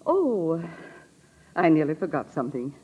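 A woman's voice: low voice, big pitch swings, unhurried, breathy edge, phone-quality sound, full character voice. A woman acting a determined, suspicious middle-aged sister-in-law in a 1940s radio drama, blunt and accusing, on an old radio recording. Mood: brisk, watchful.

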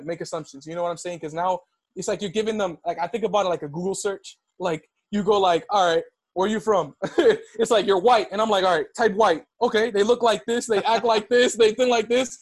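A man's voice: high voice, no room sound. A young man of Dominican background telling a personal story in casual conversation, full of "like" and "yo.". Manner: animated storytelling, heavy use of "like".

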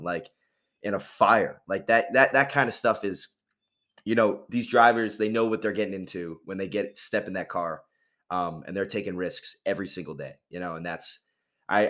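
A man's voice: lowish voice, a bit bright. A man hosting a sports radio interview, enthusiastic and effusive, often repeating his praise and chatting casually.

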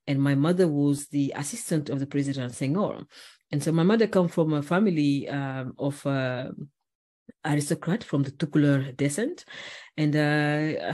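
A woman's voice: sits low, dark tone. A woman from Senegal, a podcast guest telling her story earnestly, with many "uh"s and "you know"s. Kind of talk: podcast interview.

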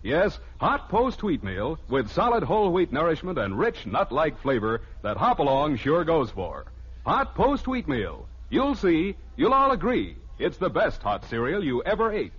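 An American man delivering a 1940s radio commercial as an announcer, with a husky voice. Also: fairly high pitch, huge pitch swings, measured pace, fairly bright tone. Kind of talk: 1940s radio advertising pitch. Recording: dull lo-fi old radio recording.

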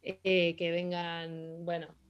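Young argentine woman, kinda low voice, lots of ems and restarts, chatty interview. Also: monotone, slow, clean tone, highs cut off. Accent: Argentine Spanish. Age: young adult. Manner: hesitant, many fillers and restarts. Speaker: interviewee, hockey player. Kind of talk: casual interview answers.

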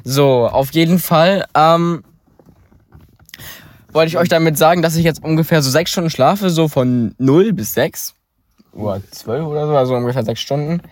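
A man's voice: fairly high pitch, moderate pace, no echo. A teenage young man chatting casually as podcast co-host, rambling and hesitant with many filler sounds. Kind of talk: casual chat.

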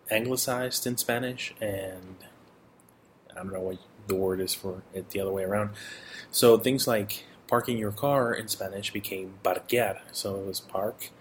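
A middle-aged man from Mexico giving a casual personal update, relaxed and rambling, with fillers and self-corrections. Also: on the low side, a little hiss or hum. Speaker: audio blogger.

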